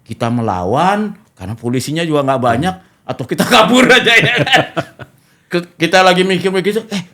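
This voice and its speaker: A middle-aged Indonesian man hosting a talk show, telling stories in an animated, casual conversational way. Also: high voice, normal speed.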